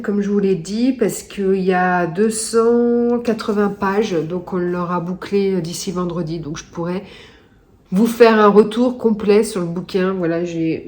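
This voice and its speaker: A woman's voice: mid-range voice, warm tone. Book vlogger lady, stuffed-up nose, chatty, lots of ums. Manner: chatty, many hesitations. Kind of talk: casual reading vlog chat.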